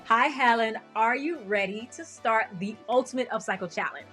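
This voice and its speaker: A woman host presents from a script and speaks directly to her viewers in an upbeat way. Her voice sits fairly high for a woman. She delivers her lines like a seasoned presenter. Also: moderate pace, a bit bright, faint music behind. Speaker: show host.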